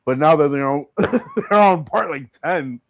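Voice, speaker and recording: A man hosting an informal interview, asking questions in a halting, conversational way with lots of filler words. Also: fairly high pitch, lively intonation, no echo. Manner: halting questions padded with "like" and "you know". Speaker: podcast host. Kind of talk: casual interview chat.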